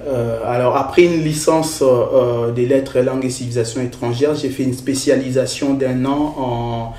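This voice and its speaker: A man from the Congo, a researcher and lecturer, answering interview questions in a measured way with frequent hesitations. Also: mid-range voice, fairly even intonation, dark tone. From Democratic Republic of the Congo. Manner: hesitant, many filler sounds, measured. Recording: roomy echo.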